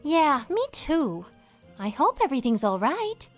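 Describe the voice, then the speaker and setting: A polite little girl in a cartoon adventure game. She sounds sweet and earnest, with a high, very lively childlike voice over soft music. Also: unhurried. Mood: wistful. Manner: childlike, cutesy. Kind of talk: animated adventure game acting.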